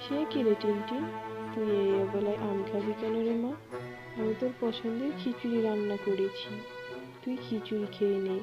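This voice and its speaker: A woman's voice: mid-range voice, fairly even intonation, moderate pace, highs cut off, close to an everyday voice. A woman voicing a worried, tender mother bird in a cartoon, with anxious motherly questioning. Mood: concerned, coaxing. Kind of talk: cartoon storytelling dialogue. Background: music.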